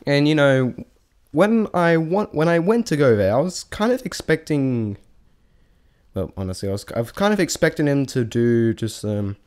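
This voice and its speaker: A man giving a casual, unscripted review in a halting, rambling way, often correcting himself mid-thought. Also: a little high, animated, a little mellow. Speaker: vlogger reviewing a stage show.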